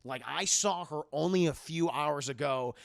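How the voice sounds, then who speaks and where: A man voicing a worried, weary old grandmother witch who speaks haltingly. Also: fairly high pitch, vocal fry, no room sound, studio quality. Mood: anxious.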